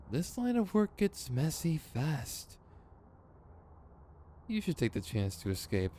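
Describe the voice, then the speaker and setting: A theatrical male supervillain, acted by a man in an ASMR roleplay, with a mid-pitched voice that swoops widely. He is smug and exasperated, slipping between mock menace and sardonic grumbling. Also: darkish tone. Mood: smug, coaxing. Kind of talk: scripted ASMR roleplay acting.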